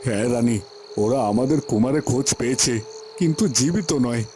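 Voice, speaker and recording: A man's voice: medium pitch, a little fast, mellow, a little hiss or hum, lightly acted. A man playing a grave, grieving king in a fairy-tale cartoon. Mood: grave, sorrowful. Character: grave king bearing news of his son's death. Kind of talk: Bengali cartoon voice acting.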